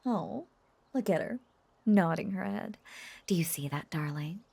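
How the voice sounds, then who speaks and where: An unhinged lovesick witch in an intimate audio roleplay, slow and clingy. Her pitch swoops widely as she coos and slips into whispers, with a creaky edge to the voice. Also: animated, dark tone, no echo, exaggerated voice. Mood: doting, playful. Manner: cooing, clingy, breathy, often dropping into whispers. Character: obsessive lovesick young witch doting on her captive beloved.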